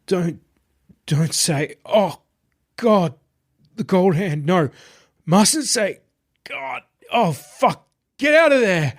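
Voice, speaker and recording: Man acting a high-pitched, terrified babbling young man. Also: expressive, drawn-out words, long pauses, heavily acted. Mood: panicked. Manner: broken, babbling, pleading phrases.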